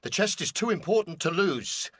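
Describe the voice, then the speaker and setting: A man's voice: high-pitched, lively intonation, moderate pace, a bit bright, husky, heavily acted. A man playing a stern, resolute ranger leader, terse and commanding, in an amateur fantasy audio play.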